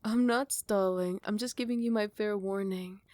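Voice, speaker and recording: A woman playing a possessive yet tender royal vampire in love, speaking in formal, old-fashioned phrasing with breathy half-whispers in an intimate ASMR roleplay. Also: mid-range voice, steady tone, moderate pace, a little mellow, no room sound, natural voice. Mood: smug, impatient.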